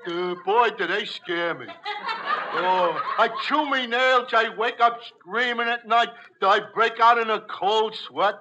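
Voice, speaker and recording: An actor playing a dim-witted, good-natured tavern regular in a 1940s radio comedy, halting and goofy in his talk. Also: high-pitched, bright, forceful tone. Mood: goofy, simple. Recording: dull, noisy old radio broadcast.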